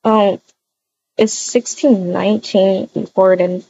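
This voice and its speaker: A woman's voice: medium pitch, steady tone, slow, vocal fry, dark tone, muffled recording, soft background music. A woman improvising a voiced video-game roleplay, chatting and narrating her own actions aloud.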